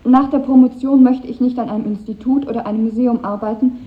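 Through a narrow, phone-like vintage radio recording comes a high-voiced, earnest schoolgirl, played by an actress. She speaks precisely and idealistically, as in a 1950s radio drama. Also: steady tone, quick pace, close to an everyday voice. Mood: earnest, eager. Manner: earnest, precise reading aloud of an essay. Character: earnest, idealistic schoolgirl in her final year.

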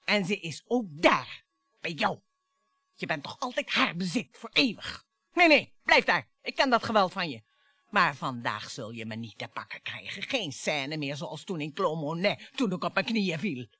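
Radio play: taunting, unstable wife, mocking and theatrical, highly animated pitch, forceful tone, dry studio sound. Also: female voice, normal speed, slightly rough, no echo, full character voice. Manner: dramatic, mocking, volatile monologues. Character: embittered, taunting, theatrical wife on the brink of violence.

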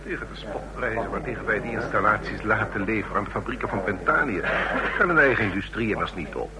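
A cynical, boastful spymaster, played by a man in an old radio drama. His voice is on the low side, with a clear crowd behind him. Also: animated, quick pace, natural voice, rough recording. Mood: smug. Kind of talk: mid-century radio play acting.

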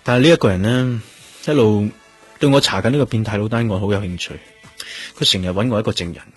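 An actor with a Hong Kong accent playing a determined, probing police detective in a radio drama. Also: male voice, on the low side, quick pace, husky. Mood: serious, dogged. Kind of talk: Cantonese radio drama acting. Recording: music bed behind the voice.

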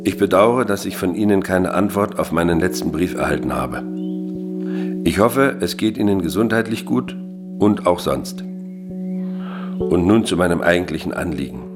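An actor playing a gruff, embittered, greying ex-army father in a radio drama, sarcastic and grumbling. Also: sits low, sing-song, moderate pace, over music. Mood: formal, resigned. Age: middle-aged. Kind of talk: German radio drama acting.

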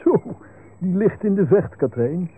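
An actor playing a cautious, fussy older professor with a Dutch accent in a radio serial. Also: male voice, high-pitched, animated, unhurried, husky, heavily acted. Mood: mildly knowing. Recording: tinny band-limited old radio recording with noise.

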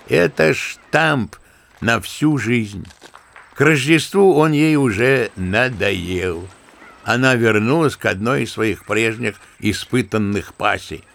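An old retired general in a radio play, spinning a story with scornful, lively asides. His voice sits at a middle pitch with a gravelly, creaky edge. The storytelling is theatrical yet conversational, as if speaking to a young relative. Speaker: actor. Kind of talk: audio drama acting.

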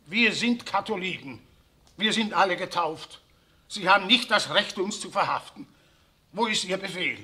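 A man's voice: high-pitched, expressive, normal speed, sharp tone, husky, exaggerated voice. An actor playing a stubborn elderly grandfather who protests indignantly in a 1960s radio drama. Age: older.

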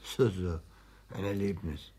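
Touchy lovesick barber, lowish raspy voice, sulky and overwrought, old german radio drama. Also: male voice, steady tone, measured pace, mellow. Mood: defensive, muttering. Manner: aggrieved, brooding, flares into passion. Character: frail, touchy, exalted lovesick young barber. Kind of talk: 1960s German radio play acting.

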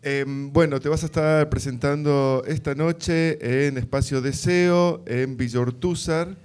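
A man's voice: on the high side, steady tone, a little slow, darkish tone, smooth. A man with an Argentine Spanish accent hosting a live radio interview.

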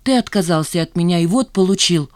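A woman's voice: fairly low pitch, animated, fairly quick, a little mellow, no room sound, natural voice. A woman voicing a hurt, indignant woman in her thirties, reproachful and emphatic, as audiobook dialogue.

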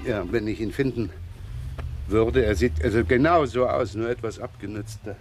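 An actor playing a wry, easygoing, rumpled police inspector with a teasing, casual way of talking in a German radio crime drama. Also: male voice, lowish voice, normal speed, background noise, natural voice. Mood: wry, probing.

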